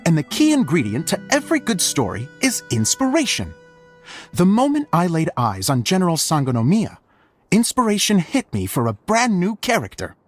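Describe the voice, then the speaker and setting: An actor playing a flamboyant, excitable middle-aged theatre director in game dialogue, with a grandiloquent manner. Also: male voice, high voice. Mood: grand, inspired.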